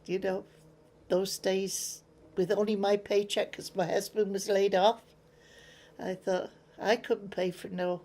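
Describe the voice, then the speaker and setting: An older woman from England speaks with an English accent, unhurried and a little gravelly. She tells her memories plainly in an interview, and slips into the voices of people she remembers. Her pitch sits in an ordinary middle range. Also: little pitch movement, dark tone. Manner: plain-spoken storyteller, acts out remembered exchanges.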